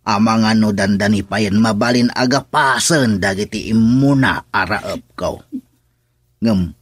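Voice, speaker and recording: A kindly farmer father in an Ilocano radio drama. He talks slowly and gently in a low voice with an Ilocano accent, recorded very dry. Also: no room sound. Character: hardworking rural father, farmer, calm and kindly.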